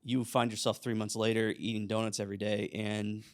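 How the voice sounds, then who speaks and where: A man's voice: fairly low pitch, level tone, brisk. A man co-hosting a church podcast, easygoing and self-deprecating in casual chat. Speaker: podcast co-host.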